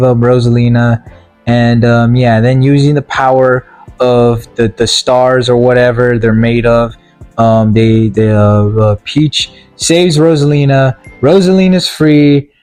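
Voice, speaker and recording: A man's voice: mid-range voice, darkish tone. A man giving a casual, comedic ranting recap as a video game movie reviewer, rambling and stumbling over his words with exasperation.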